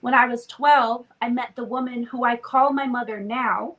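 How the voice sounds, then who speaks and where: A woman's voice: on the high side, fairly even intonation, moderate pace, fairly bright tone, highs cut off. A woman in her twenties, sniffly from a cold, chatting casually as a podcast guest with lots of "like" fillers. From born in Romania, raised in Canada. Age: 20s. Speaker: interview guest.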